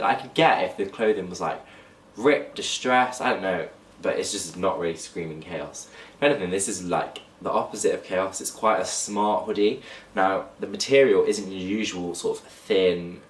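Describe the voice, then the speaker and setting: Nasal young man, low and echoey. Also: distant mic, a little hiss or hum. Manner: chatty, talks to camera while holding up clothes. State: blocked nose, slightly nasal. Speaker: fashion vlogger. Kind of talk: casual haul vlog. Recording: echoey room, voice off-mic.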